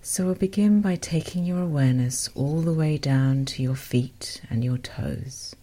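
A woman softly guiding a meditation as host, in a gentle, unhurried, breathy voice that often nears a whisper. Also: deep voice. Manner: gentle, unhurried, softly spoken with short phrases. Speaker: meditation guide and podcast host. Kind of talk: guided meditation, soothing instruction.

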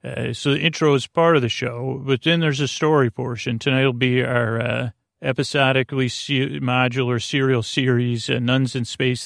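A man with a creaky voice hosting a sleep podcast, giving a soothing, meandering bedtime monologue full of tangents, hesitations and filler words. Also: mid-range voice, fairly bright tone, dry recording, clean studio sound. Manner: soothing, lulling.

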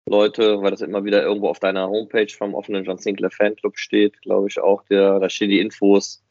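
Male podcast co-host: fairly deep, casual, emphatic explainer, very dry dull sound. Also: flat delivery, fairly quick, a little mellow, dry recording. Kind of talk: casual chat.

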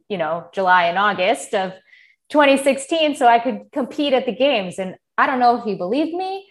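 An American woman in her thirties, a podcast guest, speaking in a candid, reflective way and quoting her own thoughts. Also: medium pitch. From United States. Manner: earnest, candid, quotes herself in bursts. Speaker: podcast guest, former Olympic gymnast. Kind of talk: reflective interview talk.

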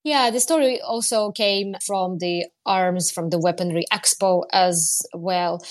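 Female correspondent, lower-set voice, conversational news reporting. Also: unhurried.

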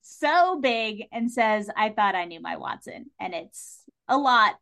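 A woman's voice: on the high side, expressive, unhurried, a bit bright. A woman in a casual, opinionated discussion as a podcast guest, speaking with emphatic enthusiasm.

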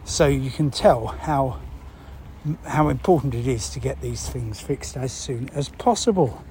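A man with a mellow, relaxed voice talks listeners through a news story. His delivery is unscripted and halting, as he thinks aloud and asks his own questions before answering them. There is some noise behind him. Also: medium pitch. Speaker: presenter explaining news. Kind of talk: explanatory talk to listeners, unscripted. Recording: clear background noise.

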